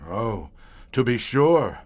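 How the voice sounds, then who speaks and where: An actor playing a haunted, learned middle-aged scientist who guards monster-ridden tunnels, speaking in a grave, florid, theatrical way for an audio horror drama. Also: male voice, medium pitch, very animated, a little mellow. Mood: proud, wry. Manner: grave, florid, dramatic storyteller with weighty pauses.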